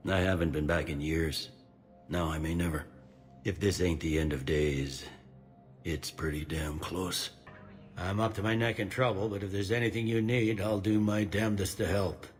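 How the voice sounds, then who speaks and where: An actor playing a blunt, weary, middle-aged security captain on a space station in a video game, speaking in a gruff, wry, plain-spoken way and laughing off questions. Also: male voice, low voice, normal speed, faint music behind, lightly acted.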